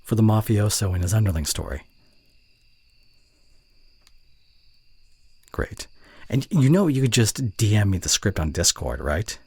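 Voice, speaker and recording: Man acting very low, husky, fond college guy. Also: big pitch swings, fairly quick, no echo, heavily acted. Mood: teasing. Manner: soft, hesitant, tender delivery with whispered asides. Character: young male college voice actor, fond, teasing, reserved romantic. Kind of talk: intimate ASMR roleplay audio acting.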